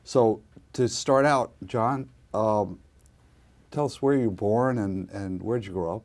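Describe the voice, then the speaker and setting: An older man with a low voice conducting an oral history interview. He speaks in a measured, formal way as he introduces his guest and asks questions. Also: darkish tone.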